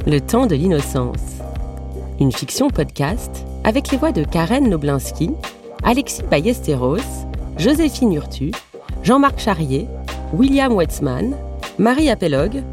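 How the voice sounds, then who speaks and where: A woman's voice: fairly low pitch, big pitch swings, measured pace, over music. A woman reading scripted titles and credits as the announcer of a fiction podcast.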